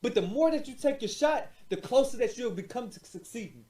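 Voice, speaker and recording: Male motivational presenter, very high-pitched voice, emphatic and repetitive delivery, roomy echo. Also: animated, fairly quick, warm tone. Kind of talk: motivational monologue.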